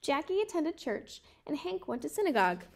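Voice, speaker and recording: High-pitched young woman reading aloud. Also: big pitch swings, brisk, vocal fry. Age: 20s. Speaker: storybook reader. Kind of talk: read-aloud of a children's picture book.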